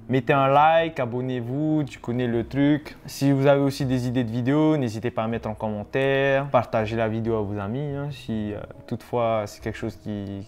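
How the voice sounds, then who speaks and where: A man in his twenties chatting casually to his viewers in a vlog, relaxed and rambling with frequent hesitations. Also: medium pitch, slight background noise. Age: 20s. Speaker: YouTuber. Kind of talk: casual vlog talk to camera.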